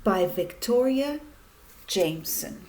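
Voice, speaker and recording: A woman teacher reading a children's story aloud in a deliberate manner, speaking directly to young listeners. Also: medium pitch, animated, darkish tone, slight background noise, rough recording. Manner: deliberate, addressing children with questions.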